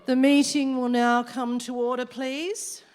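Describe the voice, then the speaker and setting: A woman's voice: high voice, flat delivery, a little slow, a little mellow, faint music behind. A woman playing a wicked female devil who chairs a meeting, formal and declamatory, in a rhymed radio play. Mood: grave, imperious. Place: large hall, live stage performance. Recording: reverberant hall recording.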